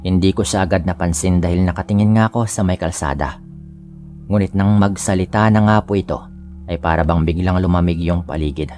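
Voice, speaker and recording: A man's voice: low-pitched, brisk, a little mellow. A man with a Filipino accent giving a scripted first-person narration of a scary story. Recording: music bed under the voice. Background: background music.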